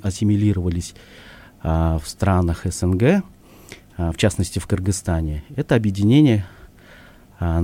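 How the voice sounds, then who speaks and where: A man answers questions as a studio guest on live radio, speaking thoughtfully and pausing often as he searches for words. His voice is low with a mellow tone. He is recorded on a studio microphone. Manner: thoughtful, hesitant, with frequent pauses. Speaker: studio guest, ensemble artistic director.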